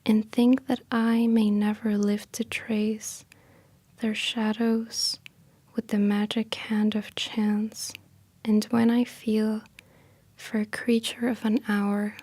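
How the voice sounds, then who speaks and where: A woman speaking softly in a breathy half-whisper, gentle and unhurried, in an intimate ASMR style close to the microphone. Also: mid-range voice, completely flat delivery, dry recording. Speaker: ASMR creator and host. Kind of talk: soft-spoken ASMR, casual explaining and poetry reading.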